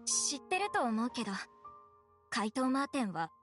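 A composed young townswoman from an anime game. Her voice is high, and she explains things in a measured, matter-of-fact manner, with faint music behind her. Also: sing-song. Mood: serious, insinuating.

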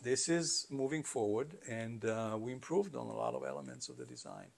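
A middle-aged man giving conversational, explanatory interview answers in a halting way, as a company founder. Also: mid-range voice, creaky. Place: carpeted listening room, indoors.

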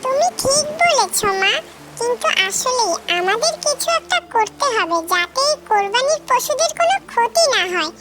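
Woman as a very squeaky, earnest little boy. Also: sing-song, moderate pace, loud music behind the voice. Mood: earnest, concerned. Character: thoughtful, kind, eager little village boy. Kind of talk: cartoon story acting.

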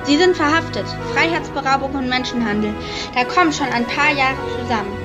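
Woman playing a high-voiced policewoman, loud music. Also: fairly quick, close to an everyday voice. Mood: commanding. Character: brisk, authoritative policewoman. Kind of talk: radio drama acting.

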